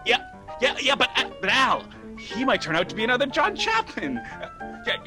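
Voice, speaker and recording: A man's voice: extremely high pitch, huge pitch swings, normal speed, over music, exaggerated voice. A man playing a flustered, exasperated nephew in a radio comedy, stammering as he goes. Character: frantic, flustered theater critic nephew. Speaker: radio play actor. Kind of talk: theatrical radio comedy acting.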